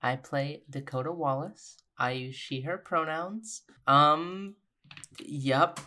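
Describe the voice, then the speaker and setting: A woman's voice: deep voice, slow, bright, forceful tone. A woman chatting casually as a podcast player, with offhand, mock-dramatic joking. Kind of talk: casual chat.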